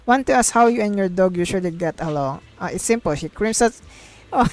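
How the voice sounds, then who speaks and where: A woman's voice: mid-range voice, animated, a little fast, a little mellow. A woman streaming a story game, casually reading the dialogue aloud and reacting with giggly, sweary exclamations. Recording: music playing behind the voice.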